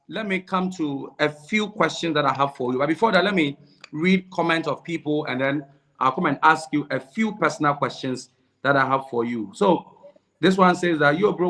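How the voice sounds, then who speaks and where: A man's voice: fairly high pitch, fairly quick, bright, forceful tone. Man hosting a chatty interview. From Ghana. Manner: chatty, laughs while reading comments. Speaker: interviewer and host. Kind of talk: casual interview, reading viewer comments aloud.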